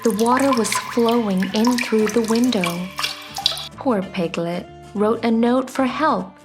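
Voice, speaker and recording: Slow-paced woman telling a bedtime story. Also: fairly high pitch. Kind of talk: scripted children's bedtime story narration. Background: soft music.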